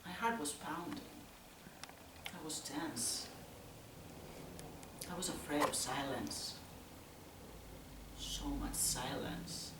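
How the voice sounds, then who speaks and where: Woman acting a slow, haunted, troubled youth onstage. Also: mid-range voice, lightly acted. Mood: hushed, tense. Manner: slow, halting, intense, from hushed to loud. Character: tense, troubled youth haunted by violent parents. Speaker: actress performing a solo monologue. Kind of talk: dramatic stage monologue. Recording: roomy, distant mic, faint noise.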